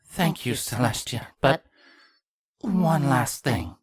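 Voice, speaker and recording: An actor voicing a regal, vengeful changeling queen, a grieving mother, speaking gravely and deliberately with a husky voice in a dramatic fan fiction reading. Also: medium pitch, lively intonation, no echo, studio quality. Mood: subdued, formal.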